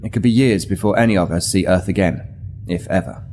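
An actor playing a weary but resolute military starship captain in an audio drama, measured, formal and authoritative. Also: male voice, sits low, a little mellow, slight background noise, lightly acted. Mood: grave, persuasive.

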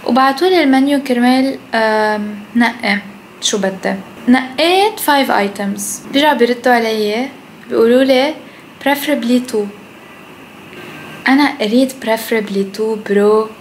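High-voiced young Lebanese woman, chatting casually. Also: normal speed. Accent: Lebanese Arabic. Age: young adult. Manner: mixes English phrases into Arabic; blunt, swears freely. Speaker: food vlogger. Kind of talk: casual chat to camera. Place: indoors at home, kitchen and living room. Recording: clear background noise.

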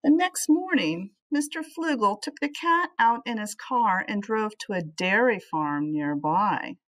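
A middle-aged woman reads a picture book aloud to children. Her pitch moves around a great deal, and she adds little asides in an animated teacherly manner. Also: measured pace. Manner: animated, addressing young listeners. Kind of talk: expressive children's storybook read-aloud with asides.

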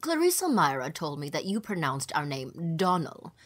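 A woman's voice: low-pitched, sing-song, moderate pace, pitched below the performer's own voice. Lady doing a snooty affected matron from an audiobook, pompous, bossy, voice swoops all over. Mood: haughty, indignant. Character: small, gaudily dressed, haughty, affected society matron. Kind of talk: audiobook character dialogue.

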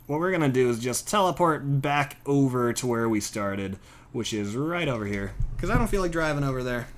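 A man's voice: mid-range voice, lively intonation, normal speed, slight background noise. A young American man chatting in a friendly, casual way as he commentates his video game livestream. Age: young adult. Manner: chatty, friendly, thanking viewers. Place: indoor room, at a desk.

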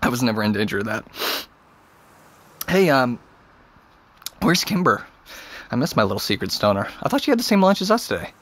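A man's voice: medium pitch, very animated, brisk, long pauses, fairly bright tone. An actor playing a bitter, defiant seventeen-year-old boy in a naturalistic audio drama. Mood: casual. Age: teenager. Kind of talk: naturalistic audio fiction acting.

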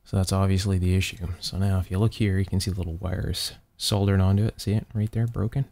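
A man's voice: sits low, flat delivery, fairly quick, gravelly, mellow. A man hosting a hands-on electronics repair demonstration, explaining methodically step by step as he works. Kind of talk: hands-on repair demonstration, talking while working.

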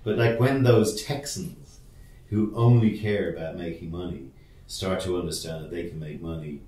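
A man's voice: low-pitched, moderate pace, dark tone, echoey room. A male podcast host asking questions in casual, rambling, teasing conversation.

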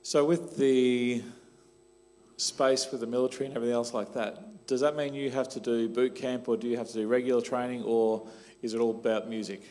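A man's voice: mid-range voice, fairly even intonation, a little fast, a little mellow. Middle-aged Australian man interviewing on stage. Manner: conversational, with "um" and "sort of". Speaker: church leader interviewing a member. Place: church stage, large hall. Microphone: handheld mic.

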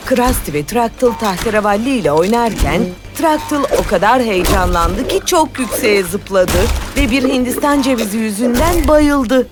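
A woman voicing a kind, guiding female helper in a children's cartoon dub, articulate and gently instructive. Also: a little high, expressive, moderate pace, few pauses, lightly acted. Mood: urgent briefing. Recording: loud music under the voice.

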